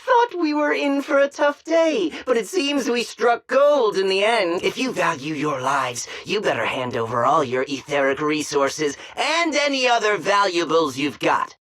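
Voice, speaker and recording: A woman's voice: medium pitch, lively intonation, unhurried, few pauses, a bit bright. An actress playing a greedy, cowardly female bandit in a video game, brash and theatrical. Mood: smug, threatening. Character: female bandit, greedy bully who turns craven. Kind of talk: dramatic video game voice acting.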